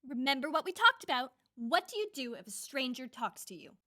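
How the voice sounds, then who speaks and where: A woman's voice: high voice, sing-song, fairly quick, bright, forceful tone, dry recording, clean studio sound, voice pushed down, full character voice. A woman voicing a caring, patient mother coaching her young child in a children's fairy tale.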